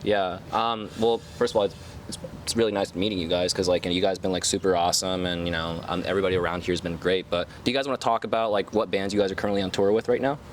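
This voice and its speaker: A man's voice: deep voice, fairly even intonation, quick pace. A man interviewing casually, rambling and enthusiastic, with lots of slang and filler words. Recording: faint vehicle noise behind.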